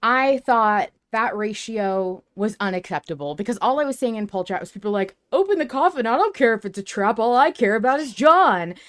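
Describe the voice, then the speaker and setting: A woman's voice: a little high, animated, a little fast, hardly any pauses, a bit bright. A woman hosting a casual fan discussion podcast, talking in a chatty, opinionated way. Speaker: podcast host.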